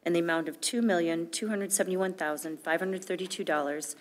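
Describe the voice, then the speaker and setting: A woman's voice: on the low side, steady tone, fairly quick. A middle-aged woman reading official documents aloud as a clerk, formal and matter-of-fact. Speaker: village clerk. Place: indoor board meeting room, at a desk.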